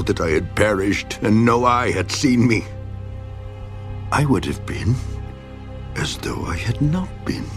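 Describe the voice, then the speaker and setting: An actor playing an anguished, despairing man who pleads bitterly with God, theatrical in a dramatised scripture reading, swinging from hushed tones to crying out. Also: on the low side, creaky, exaggerated voice.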